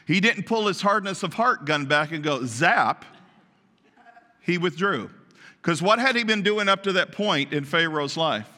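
A man preaching a sermon to his congregation, naturally loud and emphatic, often asking them questions. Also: a little high, expressive, a little slow. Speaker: pastor. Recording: long reverb of a large hall.

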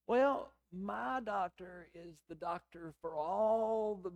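A middle-aged man with a hoarse, raspy voice, preaching a sermon in a conversational, storytelling way with quoted dialogue. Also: high voice, takes it slowly. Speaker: pastor.

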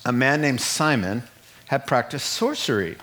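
A middle-aged man preaching a sermon in an animated way, acting out the people he quotes and laughing at his own jokes. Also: mid-range voice, a little slow. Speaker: pastor.

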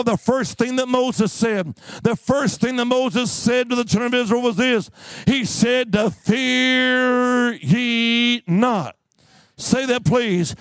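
A man preaching a fervent sermon, exhorting his listeners emphatically, telling the story dramatically and repeating phrases with drawn-out words. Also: very high voice, no echo.

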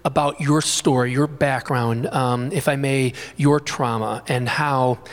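A man's voice: medium pitch, fairly even intonation, measured pace. Chatty male interviewer, long hall reverb. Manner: friendly, informal, chatty. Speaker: host and interviewer. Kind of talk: live interview. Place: small community gathering room.

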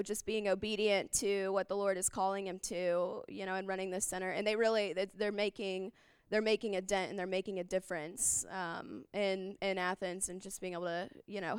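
Woman sharing a mission trip testimony, super clear smooth voice, no echo, earnest and a bit searching for words. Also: mid-range voice, clean studio sound. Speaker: mission trip speaker at church. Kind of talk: informal testimony.

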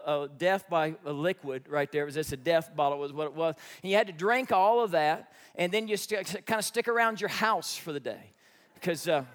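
High-voiced American pastor in his fifties. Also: a bit bright. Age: 50s. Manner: folksy, joking, repeats phrases for emphasis. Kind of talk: conversational sermon to a congregation. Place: church before an audience.

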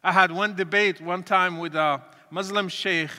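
Man, high bright tone, measured preaching testimony. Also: fairly even intonation. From Morocco. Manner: earnest, deliberate, with pauses and restarts. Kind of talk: testimony talk to an audience. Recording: reverberant hall.

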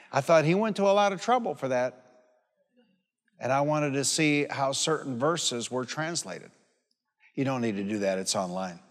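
A man of sixty-seven preaching a sermon in a folksy, emphatic way, repeating phrases and telling personal stories. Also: medium pitch. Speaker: pastor preaching. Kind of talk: sermon with personal anecdotes and calls for amen. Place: large hall or church, preaching to a congregation. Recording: long hall reverb on live sermon.

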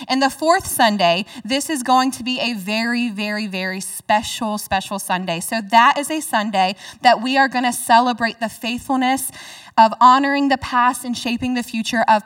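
A woman's voice: a little high, a bit bright, distant mic. A woman giving upbeat church announcements to a congregation and then leading a prayer over the offering. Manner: upbeat, slows to spell out numbers. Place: church sanctuary.

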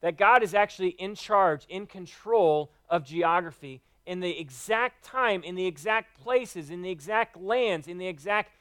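A man's voice: sits high, measured pace, hardly any pauses, fairly bright tone, no echo, studio quality. A man preaching a sermon, teaching earnestly and quoting scripture.

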